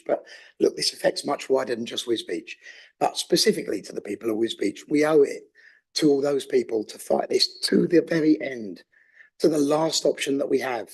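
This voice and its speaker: A man with a hoarse voice from a cold, speaking earnestly as a councillor moving a motion in a council chamber. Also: medium pitch, brisk, darkish tone. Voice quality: raspy, gravelly. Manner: earnest, persuasive, with some restarts. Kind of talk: council debate speech.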